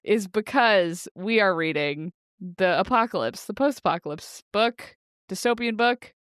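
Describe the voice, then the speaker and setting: A woman co-hosting a book podcast in casual chat, playful and wry, quick to laugh. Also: mid-range voice, animated, a bit bright, smooth, dry recording, clean studio sound. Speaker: podcast co-host.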